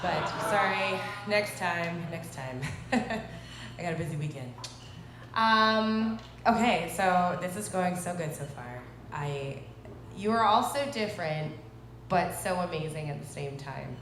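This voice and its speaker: A woman's voice: on the low side, expressive, takes it slowly. A woman host with a stuffy voice from a cold, making loose, chatty announcements from a live music hall stage. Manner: chatty, loose, filler-heavy. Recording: echoey hall with loud crowd noise.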